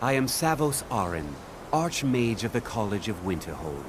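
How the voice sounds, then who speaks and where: A stern male archmage of a fantasy game, low in voice and widely inflected. He speaks formally and with measured authority, with clear background noise around him. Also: big pitch swings. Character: stern, dignified male archmage of a magic college. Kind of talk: video game character dialogue.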